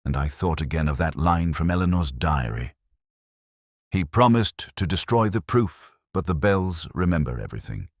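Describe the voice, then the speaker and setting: A man narrating a first-person detective story in a solemn, measured, literary manner. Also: low voice, lively intonation, no room sound, clean studio sound.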